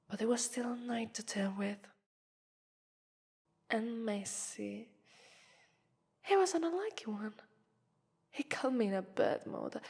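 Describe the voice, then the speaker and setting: A woman's voice: medium pitch, a little mellow, heavily acted. A woman with an Italian accent voicing a haughty, mocking female vampire who toys with her prey in a theatrical ASMR roleplay. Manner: mocking, theatrical, drawn-out phrasing with breathy whispers. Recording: long reverberant hall echo.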